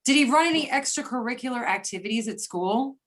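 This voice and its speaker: Female investigator, played by a woman, high-pitched, businesslike questioning, amateur readers theater. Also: animated, fast talker, fairly bright tone. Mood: inquiring.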